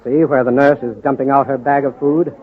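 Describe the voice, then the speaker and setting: An actor playing an earnest hospital doctor in a 1940s radio drama style. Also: male voice, medium pitch, steady tone, brisk, a crowd in the background, natural voice. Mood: grim, matter-of-fact. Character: earnest hospital doctor, quietly conspiratorial reformer ally. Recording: tinny old radio recording.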